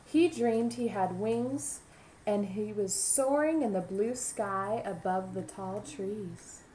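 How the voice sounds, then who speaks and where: A woman's voice: medium pitch, slow pace, mellow, a little hiss or hum, rough recording. A woman, a kindergarten teacher, reading a children's story aloud and adding teaching asides.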